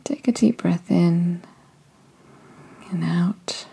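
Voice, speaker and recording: Slow, soft-spoken woman guiding meditation. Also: on the low side, creaky, slightly rough. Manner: soft, slow and soothing, often drifting into whispers. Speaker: meditation guide. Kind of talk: guided body-scan meditation.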